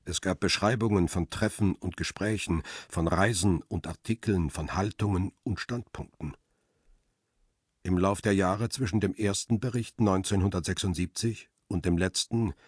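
A man playing a bitter, betrayed paparazzo who narrates his own story in a measured, reflective way for a radio crime drama. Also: low-pitched, slightly rough, no echo, studio quality. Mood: sober, reflective. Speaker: first-person narrator.

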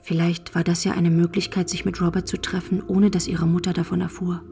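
A woman's voice: lowish voice, flat delivery, a little fast, gravelly, very noisy. A woman giving scripted literary narration as the narrator of a radio drama. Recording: music bed under the voice. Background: music.

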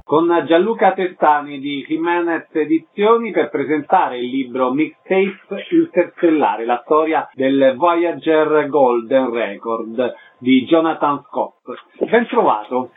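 Higher-pitched male radio host, thin sound. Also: slow pace, narrow, tinny audio, soft background music. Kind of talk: scripted radio interview presenting.

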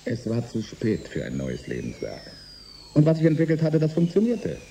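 Sardonic, dark-voiced embittered inventor. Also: male voice, mid-range voice, wildly swooping pitch, moderate pace, gravelly, slight background noise. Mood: wistful, resigned. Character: embittered middle-aged male inventor turned saboteur, sardonic and resigned. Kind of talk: German science fiction radio drama acting.

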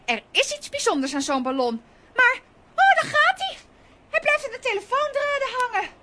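An actress playing a lively, headstrong, excitable little girl in a children's radio play. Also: very high-pitched, moderate pace, very noisy. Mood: excited.

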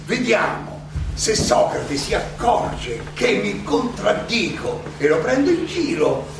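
A man's voice: high-pitched, big pitch swings, vocal fry, over music, heavily acted. A male stage actor playing an elderly, ironic and indignant Athenian philosopher who argues his own defense in a theatrical, insistently questioning monologue. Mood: mocking. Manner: rhetorical, halting then insistent, questioning. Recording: echoey, distant, noisy live stage recording.

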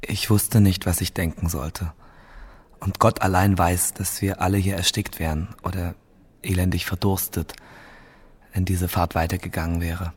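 A low, husky male voice as a haunted prisoner facing death in a radio drama. He tells his ordeal slowly and gravely, subdued and at times nearly whispering. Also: level tone. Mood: subdued, bewildered. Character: male captive awaiting execution, parched, grave, haunted survivor. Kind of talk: first-person radio drama monologue.

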